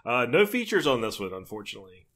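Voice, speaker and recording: A man's voice: medium pitch, sing-song, quick pace, a bit bright. A man co-hosting a casual horror podcast, eager and reading out the listings. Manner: enthusiastic, reads out listings. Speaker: podcast co-host. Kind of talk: casual chat reviewing horror releases.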